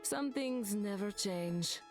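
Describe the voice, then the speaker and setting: A proud female war captain for a video game. She is stern and blunt, a mid-pitched fighter, with music clearly audible behind her. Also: lively intonation, slow pace. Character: proud, battle-hardened young woman war captain. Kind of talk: video game character dialogue.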